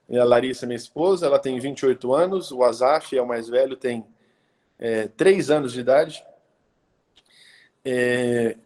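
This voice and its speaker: A man, talking as a pastor on a live stream. His pitch sits in an ordinary middle range for a man. He keeps it relaxed and conversational, leaning on hesitations and tag questions. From Brazil, interior of São Paulo state. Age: 30s. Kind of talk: casual live-stream talk.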